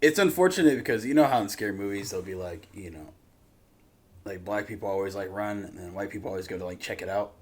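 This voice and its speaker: A man's voice: lowish voice, quick pace. An American man chatting casually as a reaction show co-host, joking and using slang.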